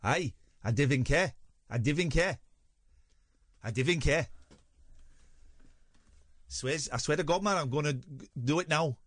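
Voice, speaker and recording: Man doing a high, aggressive Geordie hard man, threatening. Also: animated, measured pace, long pauses, fairly bright tone, no echo. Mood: menacing. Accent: Geordie (put on).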